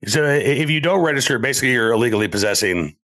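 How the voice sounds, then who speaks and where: A male talk show host who sounds scratchy and stuffed up from allergies, talking in animated bursts with many fillers. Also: medium pitch, racing. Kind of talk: conversational political talk show.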